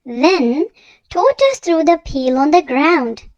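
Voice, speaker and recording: A high, youthful voice narrating a children's read-aloud story in an expressive, deliberate manner. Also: no echo, muffled recording.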